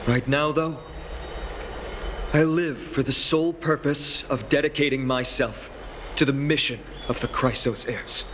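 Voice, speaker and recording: An actor playing an earnest young fantasy hero in a video game, speaking sincerely and politely. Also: male voice, mid-range voice, fairly even intonation, unhurried, noisy background, lightly acted. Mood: solemn resolve. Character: earnest young male hero resolved to bear divinity.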